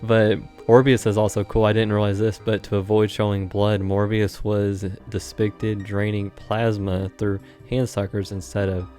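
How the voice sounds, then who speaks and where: A man's voice: lowish voice, level tone, moderate pace, few pauses, mellow, soft background music. A man from Indiana co-hosting a podcast, rambling casually with lots of fillers. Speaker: podcast co-host. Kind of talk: casual chat.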